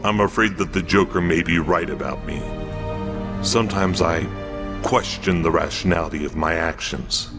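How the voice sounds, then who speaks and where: An actor playing a brooding, haunted masked vigilante in a comic-book audio drama, speaking in a grave, confessional manner. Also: male voice, low-pitched, fairly even intonation, normal speed, over music. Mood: grim, haunted.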